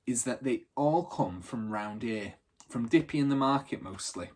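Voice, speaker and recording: A man's voice: medium pitch, animated, normal speed, a little mellow, close to an everyday voice. Man playing a hot-headed young gang-leader boy. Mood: commanding. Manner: eager, emphatic, slangy.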